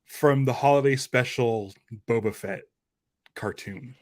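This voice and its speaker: Mid-pitched man chatting casually. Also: measured pace. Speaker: podcast panelist. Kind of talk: casual pop-culture discussion.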